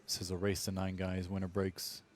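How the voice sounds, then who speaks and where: A man's voice: low-pitched, completely flat delivery, fairly quick. A man commentating a pool match live, explaining shot choices and position play to viewers.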